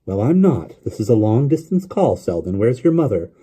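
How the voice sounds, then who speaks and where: A man's voice: medium pitch, big pitch swings, normal speed, dark tone, breathy edge, voice pushed up. A man voicing an anxious, firm mother in an audiobook story, speaking in an urgent, imploring way. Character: anxious, firm mother, adult woman, urging her son.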